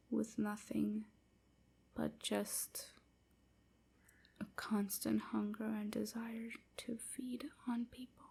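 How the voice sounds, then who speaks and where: A woman plays a wounded female vampire held as a slave in an intimate audio drama. Her voice is dark and soft, husky with breath and often sinking close to a whisper. She confides slowly and hesitantly, gentle and sorrowful. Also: on the high side, exaggerated voice. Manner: slow, hesitant, softly confiding.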